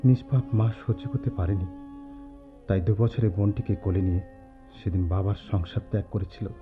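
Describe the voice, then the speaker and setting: A man's voice: fairly low pitch, fairly quick, natural voice. A man playing a brooding, proud and wounded young son in an earnest radio drama. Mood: sorrowful. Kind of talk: Bengali radio drama acting. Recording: thin phone-like audio over music.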